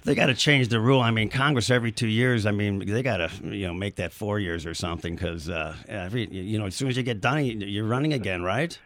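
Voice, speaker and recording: A man hosting a live radio talk show from a studio, slightly hoarse, chatty, with frequent fillers and laughs. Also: lowish voice, fast talker, hardly any pauses, fairly bright tone, no room sound. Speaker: radio host. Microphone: studio microphone.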